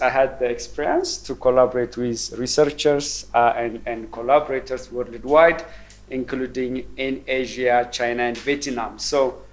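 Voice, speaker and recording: A man in his forties from Ethiopia, giving a conference presentation in a measured, thoughtful way with frequent ums and repeated words. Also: mid-range voice. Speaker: keynote speaker. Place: university roundtable event room.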